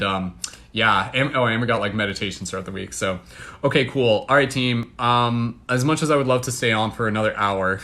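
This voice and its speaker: A young man with a Canadian accent, chatting casually and excitedly to livestream viewers, full of fillers. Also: lowish voice. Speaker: livestream host.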